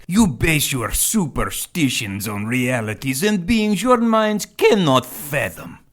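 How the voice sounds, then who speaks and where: A man's voice: medium pitch, fairly bright tone. An actor playing an ancient, menacing squid-man cultist in an audio drama, grandiose and taunting in his contempt for humans. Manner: grandiose, taunting, slow villainous delivery. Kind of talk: full-cast audio drama acting.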